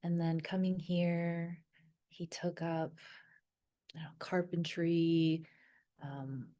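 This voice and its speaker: A woman whose first language was Vietnamese, telling personal memories in a soft, hesitant way and pausing often to search her thoughts. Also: on the low side, little pitch movement. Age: adult. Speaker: wellness creator. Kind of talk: reflective personal storytelling.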